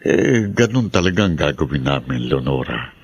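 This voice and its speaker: An actor playing a caring, sober younger brother-in-law in a Filipino radio drama. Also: male voice, very deep voice, sing-song, normal speed, exaggerated voice.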